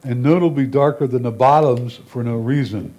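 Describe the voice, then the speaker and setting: A man's voice: mid-range voice, normal speed, warm tone. A man reading a judge's written critiques aloud as a competition reader.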